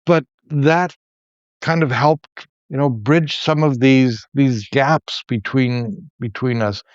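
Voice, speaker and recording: A middle-aged Canadian man, a former professor, telling personal stories in a relaxed conversation with many restarts. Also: mid-range voice, slow, no room sound, lo-fi sound. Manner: reflective storyteller, many restarts. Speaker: podcast co-host, former professor. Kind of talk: casual conversation, storytelling.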